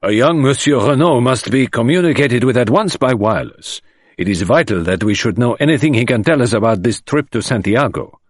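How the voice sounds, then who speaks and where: A man voicing a brisk, officious French magistrate who speaks urgently and formally. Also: mid-range voice, animated, dry recording, studio quality, pitched above the performer's own voice, close to an everyday voice.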